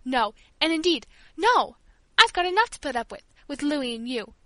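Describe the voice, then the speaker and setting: A woman's voice: high-pitched, animated, moderate pace, pushed and bright, dry recording. A woman voicing a bitter, irritable invalid teenage girl in a storybook reading, speaking sharply and scolding. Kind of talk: audiobook character voice.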